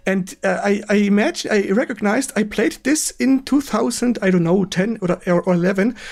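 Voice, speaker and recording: A man with a German accent hosting a casual gaming stream interview in English, speaking haltingly and repeating words with effusive enthusiasm. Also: sits high, gravelly, darkish tone. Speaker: host of a retro gaming stream.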